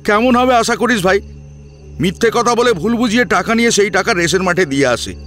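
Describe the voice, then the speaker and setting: Gossipy young male friend, audio drama: strained high voice, animated, scornful street talk. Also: fairly quick, faint music behind. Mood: scornful gossiping. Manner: excitable, disapproving, colloquial.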